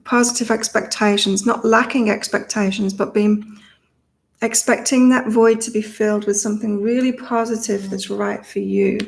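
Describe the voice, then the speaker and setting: Middle-aged British-born woman, psychic livestream host, monotone, loose and repetitive. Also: mid-range voice, normal speed, creaky. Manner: rambling, thinks aloud, repeats herself. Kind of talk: casual live chat reading viewer comments.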